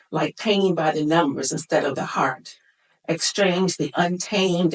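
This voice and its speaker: A mature woman poet reciting her poetry with deliberate, dramatic phrasing, recorded a little away from the microphone. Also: low-pitched, distant mic, low-quality recording.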